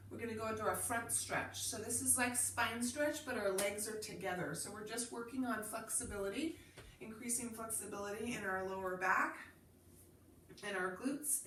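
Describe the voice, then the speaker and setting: A woman with a stuffy head from a cold, calmly leading a Pilates class and cueing each movement while she demonstrates. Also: fairly high pitch, reverberant, far from the mic, a little hiss or hum. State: congested from a cold. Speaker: Pilates instructor. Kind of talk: guided exercise class instruction.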